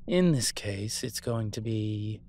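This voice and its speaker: A man playing a suave incubus demon professor in a roleplay audio drama, measured, wry and teasing. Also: fairly low pitch, lively intonation, a little mellow. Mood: measured, scholarly.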